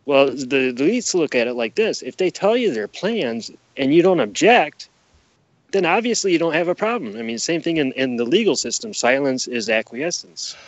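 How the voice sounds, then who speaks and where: A man's voice: medium pitch, animated, normal speed, no echo. Emphatic male guest, dull lo-fi audio. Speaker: podcast guest. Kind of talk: casual explanatory interview talk.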